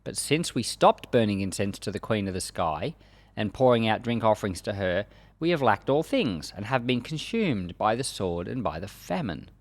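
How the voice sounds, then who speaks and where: A man's voice: lowish voice, sing-song, normal speed, no room sound. A man reading scripture aloud as a Bible teacher, in a solemn, emphatic declaiming manner.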